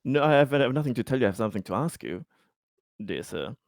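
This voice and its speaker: A man playing a tabletop game, discussing it casually in a halting, tentative way. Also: mid-range voice, very animated, darkish tone, dry recording, clean studio sound.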